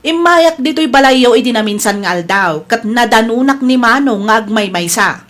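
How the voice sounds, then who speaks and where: A meddling sister-in-law in a radio play, with a high voice and an insistent, coaxing manner. She pushes her plans and won't let anyone argue. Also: animated, moderate pace, fairly bright tone. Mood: scheming. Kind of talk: radio drama acting.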